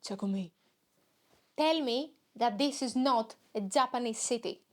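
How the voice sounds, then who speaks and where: A young woman with a Greek accent hosts her podcast in a loose, rambling comic monologue. Her voice sits fairly high, and she mocks herself as she talks. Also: measured pace. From Greece. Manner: rambling, self-mocking, jumps into silly voices. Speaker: podcast host.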